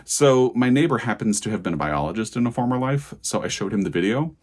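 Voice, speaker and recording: A man's voice: fairly low pitch, lively intonation, fast. A man talking casually as a book vlogger, in a conversational, digressive way, often correcting himself as he goes. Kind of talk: casual chatty vlog to camera.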